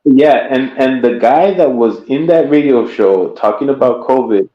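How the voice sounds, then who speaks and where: A man hosts a live stream in a middle-pitched voice, rambling along and tripping over his words as he restarts his sentences. The sound is roomy and echoey, and a little dull and lo-fi. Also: lively intonation, unhurried. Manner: rambling, stammers and restarts, easy laugh. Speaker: streamer host. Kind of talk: casual chat.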